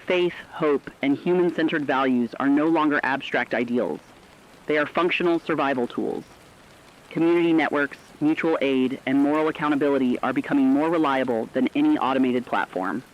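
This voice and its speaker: A man playing an earnest radio correspondent, delivering a scripted emergency broadcast in a formal, deliberate way. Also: mid-range voice, lo-fi sound, close to an everyday voice. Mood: solemn, hopeful. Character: earnest radio correspondent in a world of failing technology. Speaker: broadcast co-anchor.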